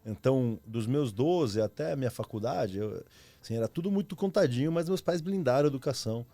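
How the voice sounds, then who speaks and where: A man's voice: mid-range voice, expressive, fairly quick, warm tone, no room sound. A man, telling his story casually and reflectively as a podcast guest, in slangy, informal speech. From Argentina. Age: 30s. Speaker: podcast guest, former marketing executive.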